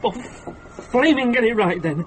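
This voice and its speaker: An actor playing a jumpy, irritable stranded traveller with a British accent in a radio drama, whiny, touchy and excitable. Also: male voice, very high voice, moderate pace, background noise. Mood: indignant.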